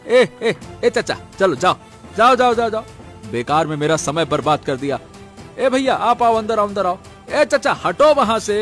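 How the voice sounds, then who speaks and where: A man's voice: sits high, very animated, moderate pace, fairly bright tone, soft background music. A man voicing a rude, impatient village eatery owner in a Hindi cartoon, brusque and nagging. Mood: dismissive. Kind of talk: Hindi cartoon story dialogue.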